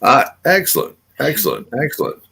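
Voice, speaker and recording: A man's voice: medium pitch, wildly swooping pitch, fairly quick, gravelly, bright, forceful tone, breathy edge. An older man hosting a casual radio show, rambling and full of filler words and restarts. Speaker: host.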